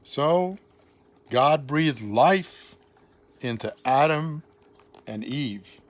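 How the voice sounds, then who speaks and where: Older man reading a children's story, very expressive. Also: a little high. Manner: dramatic, expressive, deliberate phrasing.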